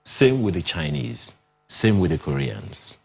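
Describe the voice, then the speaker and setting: Deep husky male TV host. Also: huge pitch swings, a little mellow. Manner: measured, poses questions to guests. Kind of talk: TV talk show interview. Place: TV studio.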